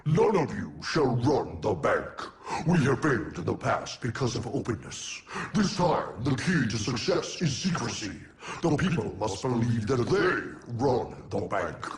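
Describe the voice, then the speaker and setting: An actor playing the sinister, imperious supreme master of a secret cartoon cabal, menacing and deliberate. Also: male voice, medium pitch, very animated, slight background noise. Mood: scheming, imperious.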